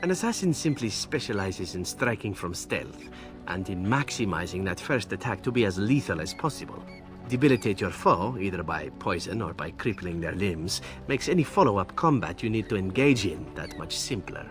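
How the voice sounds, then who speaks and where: A sly, roguish assassin from a fantasy game. His low voice moves with lively, swinging intonation as he explains things in a smooth, flippant way. Faint music plays behind him. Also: normal speed. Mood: matter-of-fact. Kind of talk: video game character dialogue.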